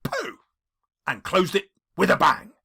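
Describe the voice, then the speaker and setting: A man's voice: sits high, big pitch swings, measured pace, sharp tone. A man reading a classic story as an audiobook, with theatrical, emphatic phrasing and dramatic stresses. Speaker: audiobook reader, English teacher. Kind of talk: dramatic scripted audiobook narration.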